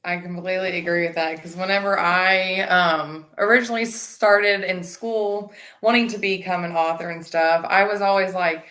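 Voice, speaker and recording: A woman's voice: fairly low pitch, steady tone, normal speed, hardly any pauses, fairly bright tone. Chatty woman host, laughing often. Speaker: podcast host. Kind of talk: casual interview chat.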